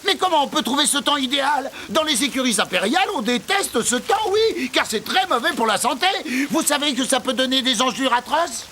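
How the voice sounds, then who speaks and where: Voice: high voice, lively intonation, brisk, hardly any pauses, pushed and bright, slight background noise. An actor playing a vain, indignant, boastful scarab beetle in a children's cartoon, with a pompous, whiny, theatrical delivery. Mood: haughty, aggrieved. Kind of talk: French-dubbed children's cartoon acting.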